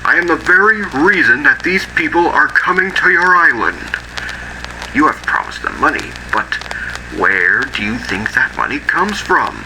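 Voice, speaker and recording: A man playing a menacing, arrogant scientist who records secret audio logs in a deliberate, theatrical way with dramatic pauses, for an analog horror drama. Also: fairly high pitch, expressive, background noise. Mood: seething, boastful.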